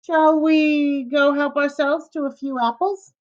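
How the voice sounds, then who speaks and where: Woman doing a wolf, male, sly, smooth-talking, high theatrical voice, dull lo-fi sound. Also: fairly even intonation, unhurried, a little mellow. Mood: falsely friendly. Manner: overly polite, high and theatrical. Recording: slightly dull lo-fi recording.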